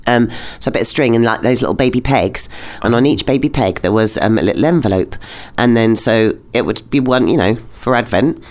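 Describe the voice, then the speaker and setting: A woman's voice: a bass voice, a little fast, hardly any pauses, a little mellow. British woman chatting casually as a guest. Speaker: podcast guest, former art teacher. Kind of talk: casual chat.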